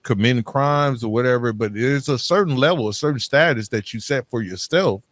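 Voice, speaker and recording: A man's voice: mid-range voice, a little fast, fairly bright tone, no echo. A man hosting a podcast, interviewing in a casual, informal and slangy way. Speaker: podcast host.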